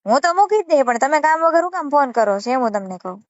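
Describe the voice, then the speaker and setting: A woman's voice: high voice, lively intonation, quick pace, no room sound. A woman with a Saurashtra Gujarati accent arguing assertively on a phone call, scolding and repeating herself. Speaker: channel creator taking a call. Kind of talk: argumentative phone conversation. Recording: muffled phone call recording.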